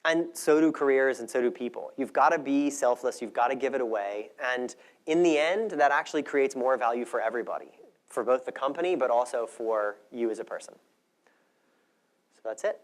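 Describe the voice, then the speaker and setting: Male conference speaker, medium pitch, thoughtful and conversational, explaining ideas to an audience. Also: a little fast.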